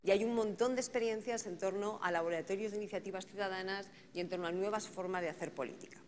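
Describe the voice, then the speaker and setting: A woman's voice: mid-range voice, fairly even intonation, fast talker, a little mellow, a little hiss or hum. Woman moderating a panel in an echoey hall. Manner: reflective, thinks aloud with tag questions. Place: conference hall. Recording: conference hall reverb, faint ambience.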